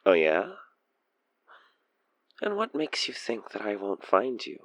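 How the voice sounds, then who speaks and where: A man acting an obsessed, possessive stalker killer in an audio roleplay, teasing, coaxing and menacing, with breathy, intimate delivery. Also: lowish voice, wildly swooping pitch, slow pace, a bit bright, no room sound, studio quality, full character voice. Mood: taunting, playful menace. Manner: theatrical, teasing, intimate, dropping into breathy near-whispers. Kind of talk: audio roleplay monologue spoken to the listener over a phone call.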